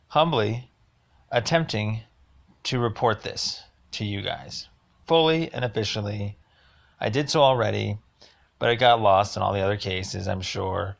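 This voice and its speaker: A man with a fairly low voice and a lot of gravelly fry leaves a rambling complaint as a voicemail. He speaks haltingly but insistently, leaning on his words for emphasis. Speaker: caller leaving a voicemail.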